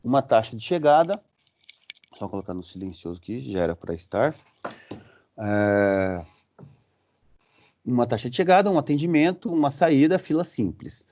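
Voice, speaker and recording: A Brazilian-accented man teaching a university class, and his pitch swings widely as he walks the students through each point. His tone is mellow, and he keeps pausing to check in with a quick tag question. The recording is muffled and lo-fi. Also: very animated, a little hiss or hum. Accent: Brazilian Portuguese. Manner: explanatory, often checking in with a quick "right?" and filler sounds. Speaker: university lecturer. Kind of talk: recorded online lecture.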